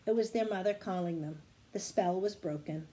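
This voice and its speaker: A woman's voice: lowish voice, normal speed, mellow. A woman reading a children's storybook aloud expressively, now and then correcting a stumble. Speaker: story reader.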